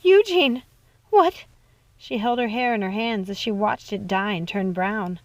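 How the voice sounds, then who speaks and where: A woman reading a dark storybook tale as a dramatic audiobook narrator, with music playing behind her. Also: medium pitch, fairly even intonation, normal speed, no room sound. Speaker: audiobook reader.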